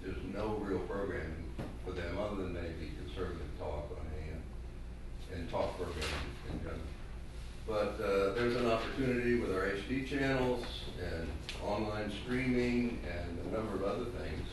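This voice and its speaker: Older American male conference speaker, anecdotal, recorded from afar with clear, loud background noise. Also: low voice, moderate pace, very echoey, far from the mic. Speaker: conference panelist, radio industry veteran. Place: conference room, picked up at a distance.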